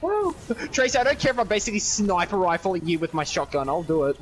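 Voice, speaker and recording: An excitable male gamer calling out over a headset. His voice is very high and swoops widely as he yelps and curses through the match, with faint music under it. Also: quick pace. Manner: excitable, exclaiming. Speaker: gamer in voice chat. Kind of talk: casual game chat.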